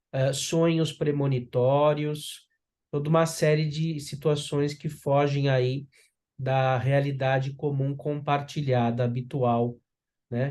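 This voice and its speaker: A man's voice: mid-range voice, a little mellow. A man hosting an interview, welcoming his guest with frequent filler words. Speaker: host. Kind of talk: interview introduction.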